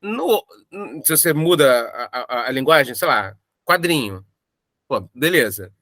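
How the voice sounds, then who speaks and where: A Brazilian man with a bright, forceful voice in a very dry recording. He talks informally, as in a casual interview, repeating phrases for emphasis. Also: mid-range voice, very animated, measured pace, no room sound. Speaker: audio drama creator. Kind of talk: casual making-of interview chat.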